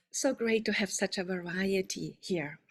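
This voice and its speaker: A woman's voice: mid-range voice, husky. A woman from Austria, hosting an online coaching event in an upbeat, welcoming way with some fillers and restarts, over a video call microphone. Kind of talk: welcoming presentation to an online audience.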